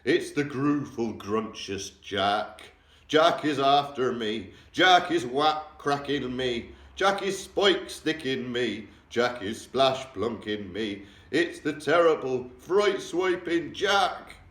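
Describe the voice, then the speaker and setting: A man's voice: mid-range voice, animated, a bit bright, voice pushed up. A man voicing a huge child-eating male giant who screams and begs in his sleep, drawn out and bellowing. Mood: terrified. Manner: bellowing, screeching, drawn-out pleading. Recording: roomy echo, distant mic.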